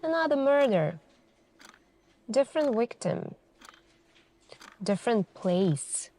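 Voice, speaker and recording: A woman doing an ASMR roleplay as a suspicious female detective, questioning in a deliberate, pointed way with many pauses. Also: on the high side, big pitch swings, mellow. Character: calm, suspicious, probing female police detective. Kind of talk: ASMR interrogation roleplay, slow deliberate delivery.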